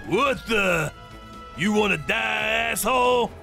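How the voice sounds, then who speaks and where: An arrogant brute of a manga villain, frantic and strained. The voice is very high, sharp and shouted, over faint music. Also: male voice, lively intonation, slow, creaky, full character voice. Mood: aggressive. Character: arrogant, brutish male villain, ranting and screaming. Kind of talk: over-the-top manga dub acting.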